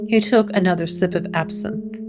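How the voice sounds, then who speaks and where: A woman narrating a scripted gothic audio drama in a measured, solemn, atmospheric manner. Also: fairly low pitch, steady tone, over music.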